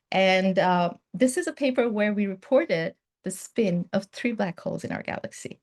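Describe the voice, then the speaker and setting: A woman's voice: medium pitch, vocal fry, a little mellow. A woman giving an academic seminar talk as a guest researcher, explaining her work with informal asides. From Bangladesh. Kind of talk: academic presentation.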